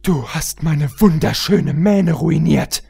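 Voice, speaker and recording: A man's voice: a little high, takes it slowly, creaky, a little mellow, breathy edge, pitched above the performer's own voice, full character voice. A man voicing a vain, ladylike female unicorn in a storybook, dramatic and indignant. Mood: outraged. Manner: dramatic, outraged.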